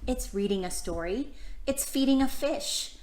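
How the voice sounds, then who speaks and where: A woman hosting a children's storytime, reading a picture book aloud and chatting to young listeners with gentle questions. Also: sits high, lively intonation, measured pace. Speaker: children's storytime host and librarian. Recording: echoey room sound.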